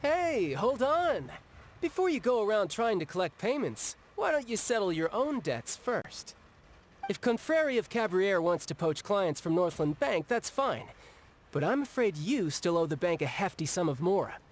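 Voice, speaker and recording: A man's voice: high voice, big pitch swings, moderate pace, a little hiss or hum. A man playing a confident, breezy young debt collector in a video game. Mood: coolly menacing.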